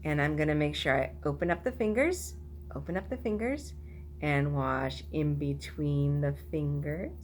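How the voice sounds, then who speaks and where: A woman's voice: sits low, normal speed, a little hiss or hum. A woman teacher talking to toddlers, giving instructions in simple, repeated phrases. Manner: simple, repetitive phrasing addressed to small children. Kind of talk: instructional talk and sing-along for toddlers.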